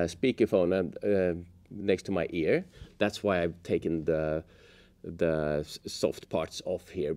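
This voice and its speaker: A middle-aged man giving a casual hands-on tutorial, explaining step by step in a halting way. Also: low-pitched, dark tone. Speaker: host of a how-to motorcycle gear channel. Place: indoors, office workshop room at a table.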